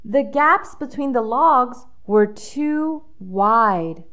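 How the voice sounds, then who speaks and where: A woman reads a picture book to young children. Her voice sits fairly high and swings expressively up and down. She takes her time, leaning on key words with dramatic emphasis. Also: lively intonation, extremely slow, a bit bright. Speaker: children's storybook reader. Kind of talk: expressive read-aloud storytelling with questions to young listeners.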